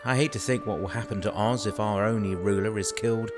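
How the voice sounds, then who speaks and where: A man's voice: lowish voice, quick pace, music in the background, close to an everyday voice. A man playing a stern, worried royal adviser in an audio drama, speaking formally and cautioning. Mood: grave concern. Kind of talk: full-cast audio drama acting. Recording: long echoey reverb, faint noise.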